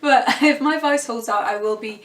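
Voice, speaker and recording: A woman with a fairly high voice, losing her voice, chats through her thoughts as a casual vlogger. She muses aloud, backs up and restarts her phrases, and slips in small laughs. The room around her rings with echo. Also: normal speed, a bit bright. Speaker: vlogger reviewing a TV show. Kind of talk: casual vlog commentary.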